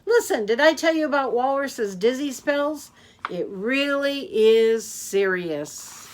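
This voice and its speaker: Woman doing a nonstop-chattering yak cabbie. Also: expressive. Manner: rambling, complaining, fast and high. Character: chatty male yak taxi driver, talks nonstop.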